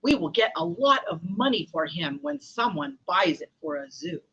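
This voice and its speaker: Woman acting a smug, husky storybook poacher. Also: on the low side, animated, normal speed, a bit bright, highs cut off. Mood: scheming. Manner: smug, exclamatory. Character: scheming, gloating band of animal poachers.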